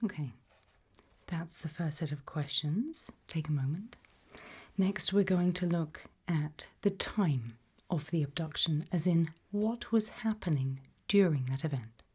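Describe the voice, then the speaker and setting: A British woman speaking in a breathy whisper, calmly asking measured questions in an ASMR roleplay interview. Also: low-pitched. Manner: calm, measured, careful questioning. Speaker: ASMR roleplayer playing an abduction investigator.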